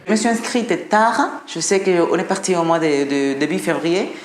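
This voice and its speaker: Casting: Colombian woman around forty, Spanish-accented, low register, testimonial delivery, echoey room with faint noise. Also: expressive, moderate pace. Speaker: programme participant, gallery owner. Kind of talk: personal testimonial. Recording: roomy echo, faint background noise.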